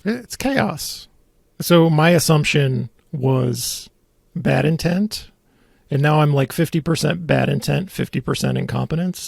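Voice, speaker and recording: A man's voice: fairly high pitch, creaky, darkish tone, dry recording. A man hosting a casual commentary podcast, talking in a dry, wry and blunt way. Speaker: podcast host. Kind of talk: casual conversational political commentary.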